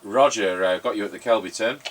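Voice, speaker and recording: Distant-miked, low-voiced man. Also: quick pace. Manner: rambling, anecdotal. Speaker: radio repairer and presenter. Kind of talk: casual chat and radio test commentary. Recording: noisy, distant microphone.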